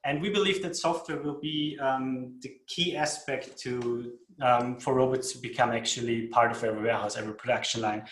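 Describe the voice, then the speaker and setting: A man with an Austrian accent presents his company in a webinar at a middling pitch. He speaks steadily, with occasional "um" fillers. His voice carries a noticeable room echo. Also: steady tone, brisk, few pauses, a bit bright. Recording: roomy echo.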